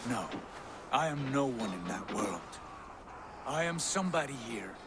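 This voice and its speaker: An actor playing a proud, bitter Viking hungry for glory in a video game, with intense, brooding delivery. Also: male voice, mid-range voice, big pitch swings, a little slow. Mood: proud, defiant. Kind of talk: dramatic video game acting. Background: game music.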